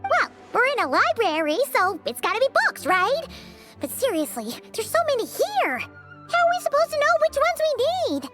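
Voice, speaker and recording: A woman voicing a tiny, chirpy, excitable floating fairy girl with a squeaky, childlike voice in a video game. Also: moderate pace, music in the background. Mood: puzzled. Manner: excitable, plain-spoken.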